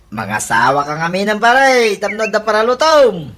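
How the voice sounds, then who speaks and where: A man's voice: high-pitched, big pitch swings, a little slow, sharp tone, exaggerated voice. An actor with an Ilocano accent playing a chummy, teasing male drinking buddy in a comedy radio drama.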